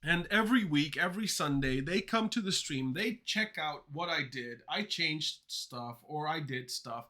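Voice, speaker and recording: Bright-toned man chatting on a livestream. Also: a little high, expressive, unhurried, few pauses. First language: Dutch and Turkish. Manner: rambling, answers chat questions mid-talk. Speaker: streamer learning to code. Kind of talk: casual livestream talk to chat.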